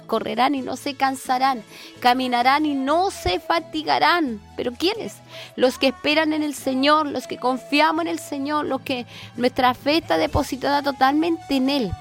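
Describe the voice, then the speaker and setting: Woman radio preacher, fervent and repetitive, somewhat high voice, just over a cold, soft music under her. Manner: fervent, repetitive, with filler "eh" and "¿cierto?". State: recovering from a cold.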